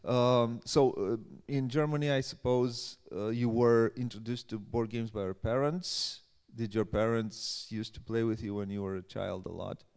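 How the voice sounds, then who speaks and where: A man hosting a live workshop interview at a festival, speaking in a hesitant, halting way. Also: mid-range voice, fairly even intonation, creaky, darkish tone, smooth. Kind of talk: live event introduction and interview. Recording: echoey hall with long reverb.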